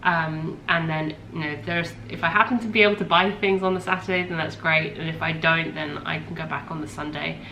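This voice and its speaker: A woman's voice: sits low, fairly even intonation, a little fast, hardly any pauses, bright, forceful tone. A woman in her thirties hosting a podcast, chatting casually and rambling with lots of ums, a little congested from a cold. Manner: rambling, chatty, with many ums and self-corrections. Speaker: podcast host. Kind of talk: casual chat. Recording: echoey room with faint ambient noise.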